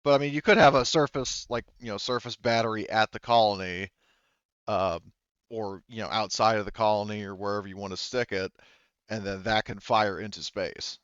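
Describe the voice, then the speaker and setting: A man's voice: on the low side, fairly even intonation, moderate pace, fairly bright tone, clear, resonant tone, dry recording, muffled recording. A man casually running a tabletop game as game master, thinking aloud with a hesitant delivery.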